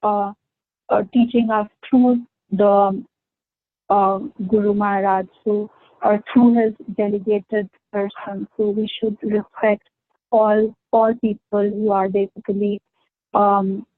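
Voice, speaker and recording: A woman with a low, gentle voice talks through an informal class, pausing often and restarting her sentences. She sounds dry and narrow, as if over a call line. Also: dry recording. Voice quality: low soft voice. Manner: hesitant, many fillers and restarts. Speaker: class speaker. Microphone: online call.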